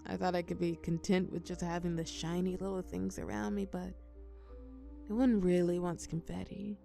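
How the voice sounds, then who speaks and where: A woman's voice: fairly low pitch, flat delivery, normal speed, gravelly, warm tone, soft background music. A young woman playing a shy, tender college girl confiding in a close friend, hesitant yet playful, in an intimate audio roleplay. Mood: tender, reflective. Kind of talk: intimate scripted audio roleplay, one side of a conversation.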